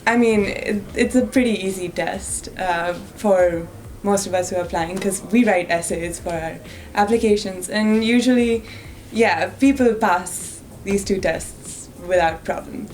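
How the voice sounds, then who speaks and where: A young woman from India, a student guest in an interview, speaking frankly with quick asides. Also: mid-range voice, darkish tone, a little hiss or hum. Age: young adult. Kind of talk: casual interview answers.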